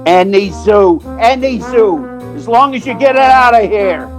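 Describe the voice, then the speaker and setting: Man acting a slow, wistful old-time radio talent agent. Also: high-pitched, expressive, faint music behind. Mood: exasperated. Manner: folksy, wistful, slangy. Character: sentimental male theatrical agent telling his sad tale.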